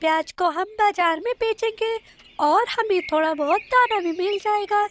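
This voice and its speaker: A woman's voice: extremely high pitch, normal speed, a bit bright. A woman voicing a hopeful, earnest young female bird in a children's cartoon. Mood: hopeful, determined. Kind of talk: Hindi children's moral cartoon dubbing.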